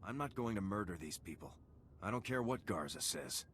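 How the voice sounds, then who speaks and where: A man's voice: lowish voice, animated, a little fast, a bit bright, a little hiss or hum. A man playing a resolute, principled lieutenant in a video game, speaking firmly and earnestly. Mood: defiant. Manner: firm, earnest, controlled. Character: principled male soldier, a resolute young lieutenant. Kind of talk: scripted video game acting.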